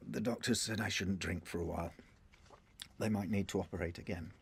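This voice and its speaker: A British man playing a shaken, injured intelligence officer in a radio drama, halting and emotional. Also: sits low, steady tone, creaky, slightly rough, heavily acted. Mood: wary, weary.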